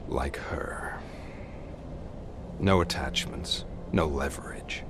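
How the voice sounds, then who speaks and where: An actor playing a calm, menacing crime boss in a video game, speaking slowly and condescendingly over noise. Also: male voice, extremely low pitch, in a whisper, exaggerated voice. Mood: calm menace. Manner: slow, deliberate, condescending. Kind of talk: video game cutscene acting.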